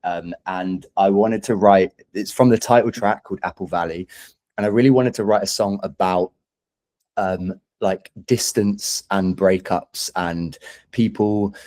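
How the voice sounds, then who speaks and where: A man's voice: deep voice, measured pace, a little mellow. A young man with a London English accent chatting casually as a musician in an interview, enthusiastic and rambling in an informal way.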